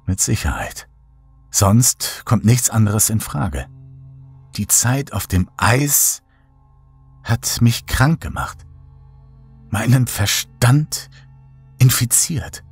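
A man narrating a horror audiobook in the first person, measured, somber and suspenseful, with dramatic delivery. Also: mid-range voice, expressive, breathy edge, faint music behind.

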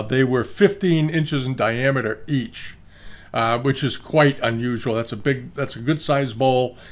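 An older man giving rambling, casual commentary on auction results, full of fillers and restarts, recorded at his desk on a webcam. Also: medium pitch. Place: indoor room, screen-share with webcam inset.

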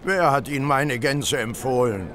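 A man acting a surly, irritable London poultry dealer in an audio drama, gruff and combative. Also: mid-range voice, moderate pace, fairly bright tone, slight background noise, lightly acted. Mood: curt.

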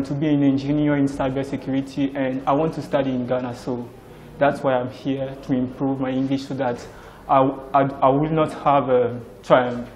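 A man's voice: mid-range voice, darkish tone, a little hiss or hum, poor audio. A young man with a Gabonese accent, introducing himself as a language student in a classroom. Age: young adult. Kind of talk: self-introduction testimonial. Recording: crowd sound behind.

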